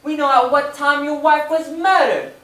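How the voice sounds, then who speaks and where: An actor playing a stern, accusing investigator in an old-time radio play, speaking deliberately and theatrically. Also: high-pitched, a bit bright, reverberant, far from the mic.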